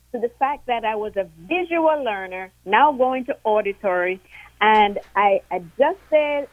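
A woman from Jamaica, a guest on a radio show, calmly telling a personal story. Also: on the high side, no echo. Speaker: guest, board member. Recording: tinny phone line.

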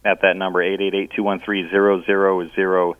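A man's voice: low voice, flat delivery, brisk, smooth, no room sound. A man calling into a radio show as a guest for a charity, talking hesitantly with many ums and uhs. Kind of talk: casual radio interview. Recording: tinny phone line.

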